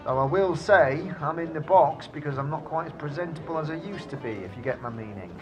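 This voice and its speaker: A man's voice: on the high side, lively intonation, fairly quick, muffled recording, music in the background, close to an everyday voice. A man playing a chatty, genteel severed talking head in an audio drama, with a fussy, theatrically polite manner. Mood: flustered, wistful. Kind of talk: audio drama character acting.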